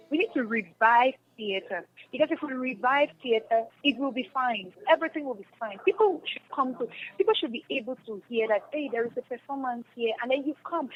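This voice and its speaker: A woman's voice: fairly high pitch, lo-fi sound. A woman with a Cameroonian accent answering as an interview guest, speaking earnestly and repeating phrases for emphasis. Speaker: stage and radio drama actress, interview guest. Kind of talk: radio interview, spontaneous answers.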